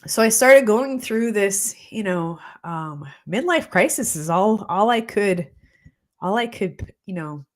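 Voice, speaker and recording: A woman's voice: mid-range voice, lively intonation, slow. A woman in her forties, a holistic practitioner, chatting on a live stream in a reflective way with a slightly congested voice. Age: 40s. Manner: chatty and reflective, many fillers. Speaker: holistic practitioner hosting a weekly live show. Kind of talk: casual live-stream talk, greeting viewers. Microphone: streaming mic.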